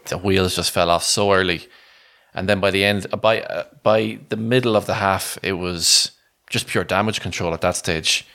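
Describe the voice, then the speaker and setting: A man's voice: low-pitched, steady tone, normal speed, creaky, pushed and bright. A man co-hosting a sports podcast, casually recounting matches in a conversational way. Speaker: podcast co-host and match reporter.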